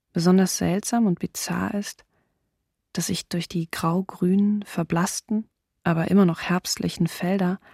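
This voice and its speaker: Radio drama: reflective woman diarist, lowish creaky voice, unhurried. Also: darkish tone, no room sound, studio quality, heavily acted. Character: contemplative female diarist observing a winter landscape. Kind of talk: radio docudrama diary reading.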